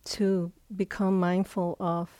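Slow, mellow woman guiding meditation. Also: fairly low pitch, level tone, dry recording. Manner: unhurried, with long pauses between short phrases. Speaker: meditation teacher. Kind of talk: guided meditation instruction.

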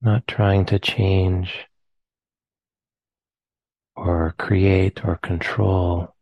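A man with an American accent, leading a guided meditation in slow, soft phrases with long pauses. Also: sits low, flat delivery, no echo, muffled recording. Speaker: meditation teacher.

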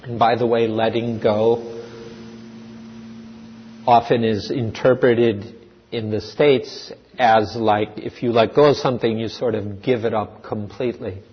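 A man gives a slow, reflective dharma talk in a meditation hall. His voice sits low and a little husky. The recording sounds dull and lo-fi, with a long reverb trailing off the walls. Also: steady tone. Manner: slow, thoughtful, with restarts and pauses. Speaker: dharma teacher.

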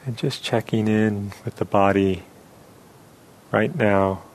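A man leading a guided meditation as a teacher, speaking softly and unhurriedly with long pauses. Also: lowish voice, steady tone.

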